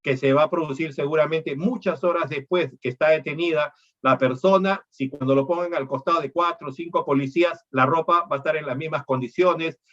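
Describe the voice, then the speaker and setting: A man's voice: a little high, fairly even intonation, fairly quick, few pauses, a bit bright, highs cut off. A middle-aged man from Peru, lecturing on law and explaining things with rhetorical questions.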